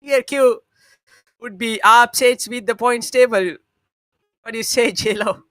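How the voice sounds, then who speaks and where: A man's voice: extremely high pitch, steady tone, unhurried, a bit bright, dry recording, clean studio sound. A man from Sri Lanka with a Sri Lankan English accent, casting esports with many fillers and restarts. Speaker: esports caster. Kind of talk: esports commentary.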